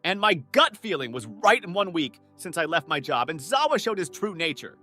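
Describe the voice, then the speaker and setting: An actor playing an earnest, easily flustered young student butler in an anime-style romantic comedy dub, polite and devoted. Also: male voice, on the high side, very animated, normal speed, pushed and bright, faint music behind. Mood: reflective.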